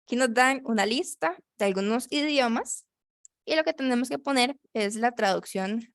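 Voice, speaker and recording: A woman teaching English over a video call, explaining slowly in Spanish and repeating words so her student can follow. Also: a little high, lively intonation, no room sound, clean studio sound. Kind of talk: online English lesson.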